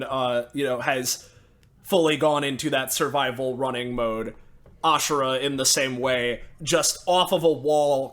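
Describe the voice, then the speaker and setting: Bright-voiced man, slow descriptive narration. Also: fairly high pitch. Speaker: player. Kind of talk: narrating his character's actions.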